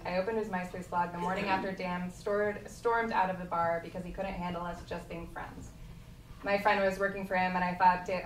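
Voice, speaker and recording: A young woman reading a prepared personal speech aloud to a live audience. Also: fairly low pitch. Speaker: book editor introducing the collection. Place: indoor room at a live party. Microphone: microphone on a lectern stand. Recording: echoey room, distant mic, audience noise. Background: audience noise.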